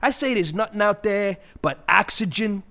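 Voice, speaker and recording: Sarcastic vulture, high-pitched, swooping intonation, bitter ranting, comic audio play. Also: male voice, expressive, moderate pace, sharp tone, full character voice. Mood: bitter, contemptuous. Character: bitter, wisecracking male vulture with thwarted ambitions.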